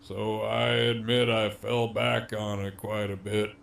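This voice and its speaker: A man's voice: fairly low pitch, little pitch movement, slow, gravelly, fairly bright tone, slight background noise, full character voice. A man playing a cocky, menacing, wryly amused imprisoned gang leader in an audio drama, with a swaggering, foul-mouthed drawl. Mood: sly, amused.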